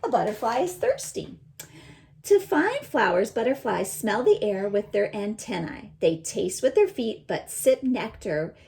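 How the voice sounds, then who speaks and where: A woman teacher reading a children's picture book aloud and pausing to explain it in simple words. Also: on the high side, vocal fry, darkish tone.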